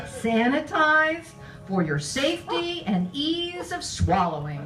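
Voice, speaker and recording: A woman's voice: sits high, very animated, fairly bright tone, heavily acted. A woman playing a prim, smug housewife in a vintage radio advert parody, speaking coyly and genteelly. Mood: coy, confiding. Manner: coy, genteel, deliberate. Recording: distant echoey stage, music behind.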